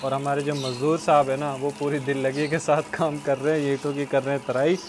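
A man's voice: mid-range voice, level tone, brisk, background noise. A young man from Pakistan narrating a casual vlog outdoors on farmland and a building site, laughing often between sentences, recorded on a lapel mic. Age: young adult. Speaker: vlogger. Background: faint outdoor ambience.

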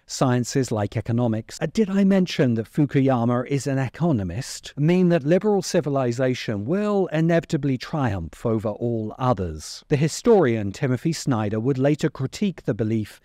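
A middle-aged man narrating a scripted video essay in a deliberate, emphatic way, close to the microphone. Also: mid-range voice, expressive, warm tone, dry recording. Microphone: close desk microphone.

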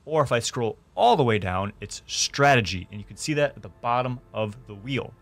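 A man's voice: medium pitch, lively intonation, normal speed, a bit bright. Young man giving a step-by-step gaming tutorial. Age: young adult. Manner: clear step-by-step instructing. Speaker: gaming streamer and host. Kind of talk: tutorial narration. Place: small indoor room streaming setup.

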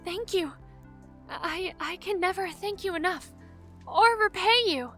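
An actress playing a timid young rescued captive, hesitant and grateful, in an audio drama. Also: female voice, extremely high pitch, measured pace, a bit bright, faint music behind. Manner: hesitant, stammering.

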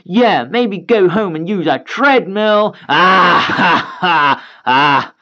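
A storyteller voicing a bratty teenage boy who jeers loudly at a young girl, on a slightly dull recording. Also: mid-range voice, animated, takes it slowly, voice pushed up, exaggerated voice. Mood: jeering.

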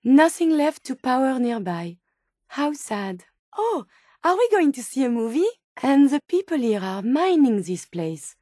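A polite female robot scientist from a video game, speaks in a high, dry-recorded voice. She is earnest and naive, choosing formal, precise words and full of curiosity about the world. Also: dark tone, no echo, exaggerated voice. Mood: saddened, then delighted, curious. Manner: formal, precise wording with wide-eyed wonder. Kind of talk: scripted game companion dialogue.

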